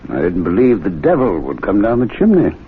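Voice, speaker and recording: A dull, slightly noisy vintage radio recording carries an unflappable male club member. His voice sits fairly low, moving expressively through dry, ironic, measured lines. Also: husky, heavily acted. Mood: deadpan. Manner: deadpan, measured, drily ironic. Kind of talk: 1970s radio drama acting. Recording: muffled, noisy old radio broadcast.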